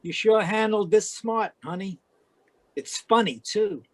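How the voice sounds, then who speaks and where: A man's voice: high-pitched, unhurried, no room sound. A man playing a pushy, slick, scheming villain in an old-time radio drama. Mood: smug. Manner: slick, menacing, calls woman baby. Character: scheming, pushy male villain in frontier melodrama.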